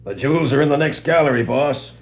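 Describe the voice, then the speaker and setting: Gruff dim henchman, creaky voice, slangy, 1960s audio drama, dull record sound. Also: a little high, expressive, quick pace. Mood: curious, businesslike. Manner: street-tough slangy talk. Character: gruff, dim adult male gangster henchman. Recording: dull vintage vinyl record.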